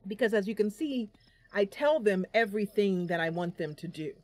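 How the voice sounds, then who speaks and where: A woman's voice: mid-range voice, lively intonation, normal speed, a little mellow. A woman giving casual gameplay commentary, chatting to viewers and thinking aloud as she goes. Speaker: gaming channel host. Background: game music.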